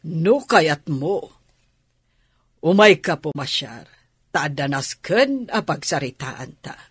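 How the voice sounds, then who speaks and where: Radio drama aunt, older, played by a man: highish husky voice, measured, coaxing and insistent with the young niece. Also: lively intonation, fairly bright tone, dry recording, clean studio sound, heavily acted.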